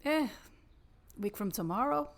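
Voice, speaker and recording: A man's voice: very high-pitched, animated, measured pace, gravelly, pitched above the performer's own voice, exaggerated voice. A man voicing a shrewd, haggling older male shopkeeper. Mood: grumbling. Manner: haggling, grumbling.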